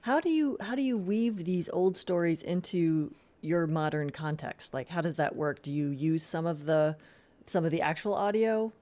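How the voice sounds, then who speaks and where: Low-pitched woman hosting an interview. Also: moderate pace, warm tone. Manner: encouraging, attentive, frequent short affirmations. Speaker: podcast interviewer and host. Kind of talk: casual interview questions.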